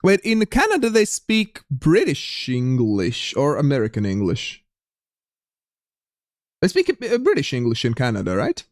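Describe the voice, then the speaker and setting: A man's voice: medium pitch, huge pitch swings, measured pace, no echo, clean studio sound. A young man with a light Latvian accent, streaming a geography game and chatting casually with viewers while reading the chat aloud. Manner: chatty, reads chat aloud, frequent restarts.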